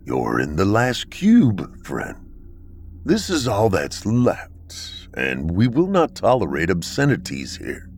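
A man doing a calm, grave bunker dweller. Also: fairly low pitch, slight background noise, exaggerated voice. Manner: slow, measured, stern.

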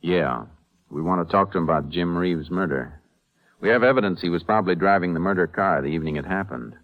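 An American actor playing a stern, steady Texas Ranger in a 1950s radio western, terse and businesslike. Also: male voice, low voice, quick pace, phone-quality sound, close to an everyday voice. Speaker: actor narrating and playing the lead. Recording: vintage 1950s radio drama recording.

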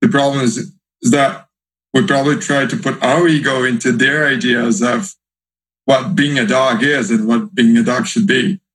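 Halting man. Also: mid-range voice, steady tone, a bit bright, poor audio. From Brazil. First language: Portuguese. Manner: thoughtful, halting, repeats words while searching. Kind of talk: casual group discussion.

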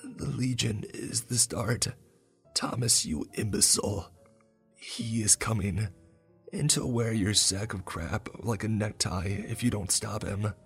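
Guy putting on a bossy old granny voice, higher and hushed, scolding. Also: measured pace, vocal fry, slightly rough, faint music behind, full character voice. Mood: scornful. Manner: scolding, commanding. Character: stern old grandmother, bossy and scornful.

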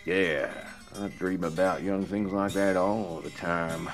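A man voicing a crude, teasing trucker in his fifties who mutters and drawls in a low country manner. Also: fairly even intonation, slow, faint music behind. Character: gruff, crude older male trucker, teasing.

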